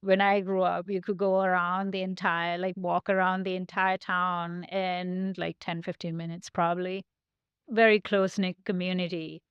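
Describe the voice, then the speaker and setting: Guest interviewee, woman from Sri Lanka, speaking reflectively in a smooth, dry-sounding voice. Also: on the low side, dry recording, dull audio. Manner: thoughtful, reflective, with frequent "like" fillers. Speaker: guest, studio founder. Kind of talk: casual interview talk. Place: her design studio.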